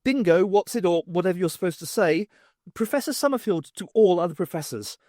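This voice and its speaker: A man voicing a brisk, flippant woman professor leading a rescue in an audiobook. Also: sits high, lively intonation, darkish tone, dry recording, clean studio sound, voice pushed up. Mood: brisk, wry. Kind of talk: audiobook character voice.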